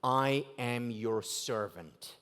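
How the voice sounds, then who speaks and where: A man's voice: mid-range voice, very slow, a bit bright. A man with an Israeli accent, a native Hebrew speaker, giving a motivational keynote talk at a gala dinner, asking rhetorical questions and punching key words. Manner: rhetorical questions with strong rises and drops for emphasis. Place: banquet hall at a gala dinner.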